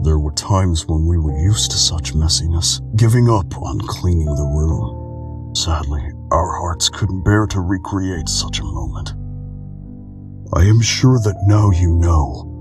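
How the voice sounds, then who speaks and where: A man plays a weary old keeper of memories from a video game, with a very low voice. He is calm, solemn and melancholic, delivering a measured dramatic monologue over clearly audible music. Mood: wistful. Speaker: amateur voice actor in a game fandub.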